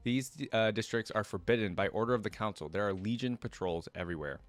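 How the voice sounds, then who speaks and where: A man's voice: lowish voice, fairly quick, no echo, natural voice. A man reading aloud the lines of a nervous, bitter male general in a fantasy story. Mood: frustrated.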